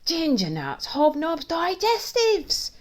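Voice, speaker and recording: A woman's voice: low voice, moderate pace, close to an everyday voice. A woman voicing a big, greedy male sewer rat in a storybook, bullying and mocking in manner. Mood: greedy, gleeful.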